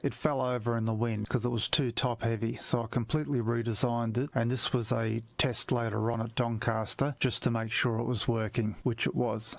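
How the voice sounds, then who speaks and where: A man's voice: mid-range voice, no room sound. Australian man, dry close narration. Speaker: amateur television hobbyist. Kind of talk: prepared video voice-over.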